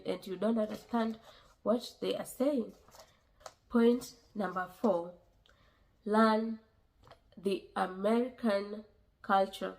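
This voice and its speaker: A woman from Kenya with a Kenyan accent, giving earnest, pause-filled advice to newcomers in a casual vlog. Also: medium pitch, darkish tone, low-quality recording. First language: a Kenyan language. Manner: earnest, repeats herself, many pauses and fillers.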